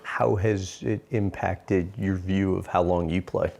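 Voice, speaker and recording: Low-voiced male interviewer. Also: steady tone, unhurried, vocal fry, a little mellow. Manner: conversational, chiming in with short agreements. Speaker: interview host. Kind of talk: long-form sit-down interview.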